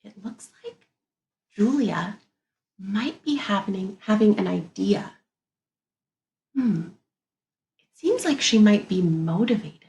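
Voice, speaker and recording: Slow, animated woman reading to young children. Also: mid-range voice, vocal fry, reverberant, highs cut off. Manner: expressive, deliberate, thinking aloud with musing hums. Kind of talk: picture-book read-aloud with think-aloud commentary.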